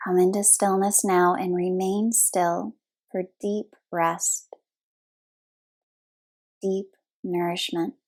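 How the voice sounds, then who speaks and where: A woman leading a guided relaxation meditation as a yoga nidra instructor, speaking soothingly in short, unhurried phrases with long pauses. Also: lowish voice, level tone, a little mellow.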